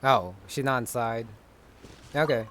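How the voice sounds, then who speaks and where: Male gamer commentator, medium pitch, casual and musing, slight background noise from game ambience. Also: unhurried, a bit bright. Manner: low-key, musing.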